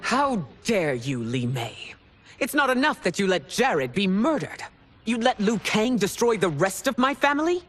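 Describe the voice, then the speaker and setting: A woman's voice: mid-range voice, big pitch swings, a little slow. An actress playing a proud, stern empress and protective mother in a video game cutscene, with formal, imperious speech. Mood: outraged, accusing. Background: music.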